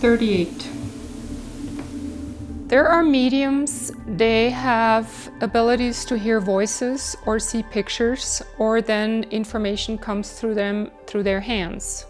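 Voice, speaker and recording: A woman from Switzerland, a medium calmly and steadily explaining her work in a documentary interview. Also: a little high, faint music behind. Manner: calm, explanatory, measured. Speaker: automatic writing medium.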